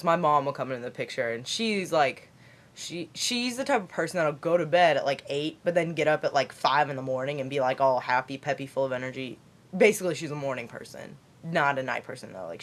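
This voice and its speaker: A teenage girl from Kentucky with a deep voice for a girl, rambling chattily in a late-night vlog from her basement. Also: brisk. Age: teens. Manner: chatty, rambling, lots of fillers and put-on voices. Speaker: vlogger.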